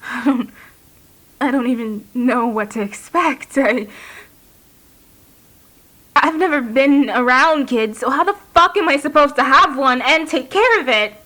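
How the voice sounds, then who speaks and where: An actress playing an anxious, guarded young mother confiding haltingly and emotionally into an audio diary in a drama. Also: high-pitched, pushed and bright. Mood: panicked, distraught.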